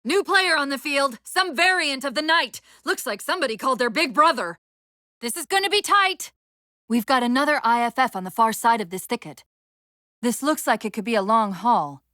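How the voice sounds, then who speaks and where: A female AI companion in a military sci-fi game. She is quick-witted, earnest and strained, briefing a soldier crisply. Her voice swings widely in pitch, and the sound is very dry. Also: expressive, pushed and bright, no room sound. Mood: urgent, alarmed. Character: female military AI companion, urgent, clever, wry, increasingly strained. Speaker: video game voice actor. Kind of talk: video game voice acting.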